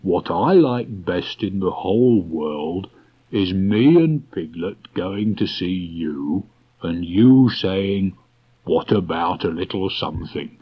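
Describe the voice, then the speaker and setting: Man as a slow, husky, gentle bear. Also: sits low, lively intonation, exaggerated voice. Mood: thoughtful, content. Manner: slow, pondering, simple. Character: slow-witted, gentle, contented storybook bear. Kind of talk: storybook character reading. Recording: dull restored cassette tape.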